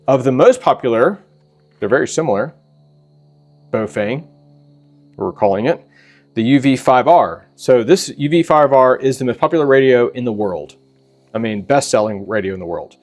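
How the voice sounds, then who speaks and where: A man hosting a casual product walkthrough, talking in an informal, halting and rambling way. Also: medium pitch, lively intonation, a little mellow, soft background music. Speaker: host of a preparedness channel.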